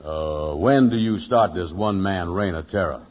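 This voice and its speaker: Actor as a deep-voiced skeptical radio sidekick. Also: male voice, moderate pace, background noise, lightly acted. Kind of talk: 1940s radio sitcom acting. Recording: muffled vintage radio recording.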